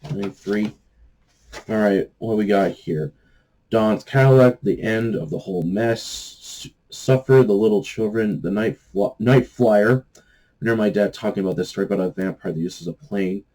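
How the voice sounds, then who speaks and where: A man giving a casual, rambling review to viewers, halting often as he searches for words and trails off. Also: on the low side, dark tone, low-quality recording. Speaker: book review vlogger. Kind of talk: casual rambling book chat.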